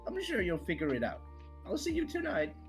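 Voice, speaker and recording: Man playing coy young student, teasing, exaggerated, very raised pitch, animated, music in background. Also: a little fast. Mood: breezy. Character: slight teasing student friend, sly and coy.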